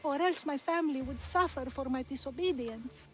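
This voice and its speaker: A woman's voice: high voice, moderate pace, lightly acted. A woman playing a remorseful, fearful elderly oracle and grandmother in a video game cutscene, pleading and contrite. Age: older.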